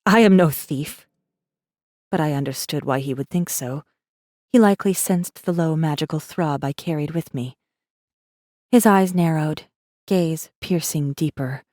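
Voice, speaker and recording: A woman playing a guarded, secretive rebel messenger who narrates an audiobook in an intense, measured first-person style. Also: deep voice, darkish tone, dry recording, clean studio sound.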